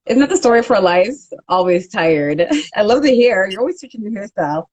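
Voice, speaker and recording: A woman's voice: mid-range voice, expressive, brisk, a little mellow. Woman host w a stuffy cold voice, chatty, lots of ums, on a video call. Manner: chatty, filler-heavy. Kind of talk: casual live-stream chat.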